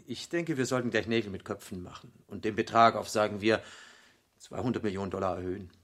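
Suave devil, radio play, husky, lowish pitch, courteous, smooth-talking, ceremonious. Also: male voice, quick pace, a bit bright. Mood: businesslike, indulgent. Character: suave, courteous male devil posing as a foreign gentleman. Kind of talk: German radio drama acting.

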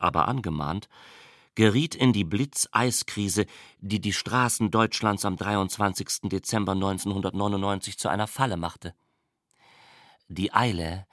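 A man's voice: sits low, no room sound, clean studio sound. A man narrating a literary story, precisely carrying long, flowing sentences. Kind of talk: literary reading, scripted narration.

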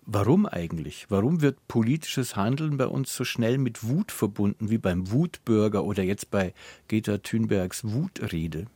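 Low-pitched male radio interviewer, close and dry. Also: expressive, moderate pace, mellow, slightly rough, dry recording. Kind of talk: interview questions and moderation.

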